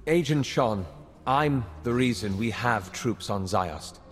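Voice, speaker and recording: Guilty, earnest agent in a hall. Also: male voice, mid-range voice, lively intonation, slow pace. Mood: guilty, subdued. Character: guilt-ridden male intelligence agent, earnest and apologetic. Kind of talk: video game cutscene acting. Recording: reverberant hall, music underneath.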